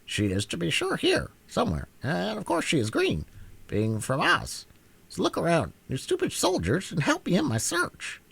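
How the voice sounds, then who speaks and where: A man voicing a talkative female hen with a sharp, brisk voice, pitched high above his own. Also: wildly swooping pitch, vocal fry, breathy edge, full character voice.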